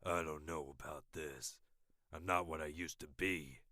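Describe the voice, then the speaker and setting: A man's voice: extremely low pitch, steady tone, unhurried, pushed and bright, no room sound, clean studio sound, exaggerated voice. An actor playing a hesitant, worried assassin past his prime in an audio drama. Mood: uneasy.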